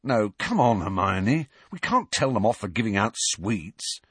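An awkward teenage boy played by a male reader, reluctant and keen to avoid trouble. He hesitates and mumbles in a husky voice with a dry, close sound. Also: on the low side, big pitch swings, measured pace, fairly bright tone, no echo, exaggerated voice. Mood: stalling.

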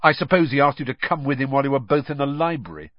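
A man's voice: medium pitch, animated, fast talker, no echo, dull audio, pitched above the performer's own voice, close to an everyday voice. A man voicing a sulky, jealous teenage boy who speaks scathingly and sneers at his friend.

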